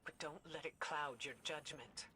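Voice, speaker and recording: A woman's voice: low voice, pushed and bright. Wise, cautious female leader, noisy backdrop. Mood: concerned, reproving. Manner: measured, formal, admonishing. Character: wise, cautious woman leader, firm and concerned. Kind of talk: video game dramatic dialogue. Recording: game audio under effects noise.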